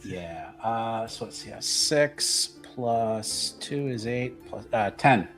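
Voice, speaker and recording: A man's voice: mid-range voice, animated, slow, a bit bright, faint music behind. A man playing a tabletop roleplaying game, casually and tentatively describing what his character does as he thinks aloud.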